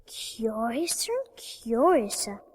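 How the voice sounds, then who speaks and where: A woman's voice: on the high side, big pitch swings, slow pace, breathy edge, heavily acted. A woman playing a polite, curious young girl in a storybook recording, prim and wondering. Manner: prim, wondering, well-spoken. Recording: long reverb.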